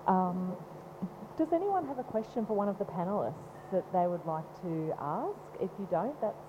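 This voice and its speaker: A woman's voice: mid-range voice, sing-song, moderate pace. A woman moderating a live panel, inviting questions from the audience in a friendly, coaxing way. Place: panel event venue. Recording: dull live recording with background noise.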